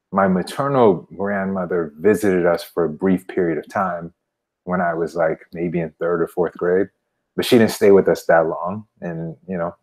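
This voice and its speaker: A man in his thirties with a low voice talks casually on a video call. He shares things in an informal, reflective way, as a podcast guest would. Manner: informal, anecdotal, frequent "you know". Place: indoor room at home, video call. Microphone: wired earbuds on a video call.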